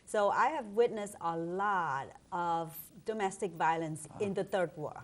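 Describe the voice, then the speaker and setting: A woman guest from Vietnam, sincerely sharing personal experience in an interview. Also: fairly low pitch. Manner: sincere, personal, slightly halting. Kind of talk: interview answers.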